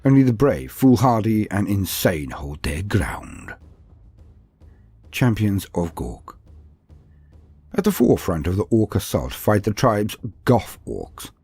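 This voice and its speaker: A man's voice: on the low side, dark tone, breathy edge, no echo. A man reading scripted fantasy battle lore as a narrator, with a grave, theatrical delivery. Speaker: narrator of a fantasy war-game lore video. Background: music.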